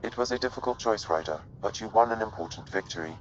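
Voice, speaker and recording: An actor playing a calm, measured male advisor in a video game cutscene. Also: on the low side, highs cut off, slight background noise, natural voice. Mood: measured reassurance.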